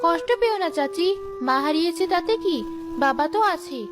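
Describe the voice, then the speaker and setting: A woman's voice: very high voice, animated, normal speed, music in the background. A woman voicing a plucky, cheeky little girl in a children's cartoon, scolding like a grown-up housewife. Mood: cheeky, loyal. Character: plucky, bossy little girl, grown-up beyond her years. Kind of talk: children's cartoon story acting.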